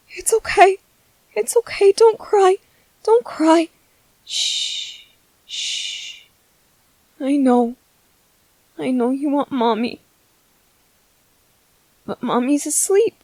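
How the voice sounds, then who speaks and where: A woman voicing a grieving young widow and mother in a dramatic monologue, slow and heavy with sorrow. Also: high voice, darkish tone, dry recording, rough recording. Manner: slow, heavy with grief, at times tearful.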